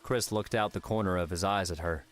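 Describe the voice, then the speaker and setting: Deep-voiced male audiobook narrator. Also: steady tone, brisk. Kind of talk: scripted fiction narration.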